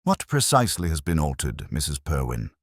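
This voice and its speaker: A man voicing a polite, unflappable police inspector in a storybook mystery, gentle and precise. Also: very deep voice, wildly swooping pitch, brisk, no echo, studio quality, exaggerated voice. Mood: calm, inquiring. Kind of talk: storybook character dialogue.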